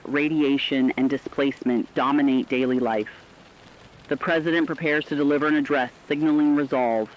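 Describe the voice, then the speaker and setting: Guy doing a solemn forceful radio war reporter, kinda muffled lo-fi sound with rain. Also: mid-range voice, slight background noise, natural voice. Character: grave radio correspondent relaying war news and presidential speech. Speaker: radio news correspondent. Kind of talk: scripted dramatic news broadcast.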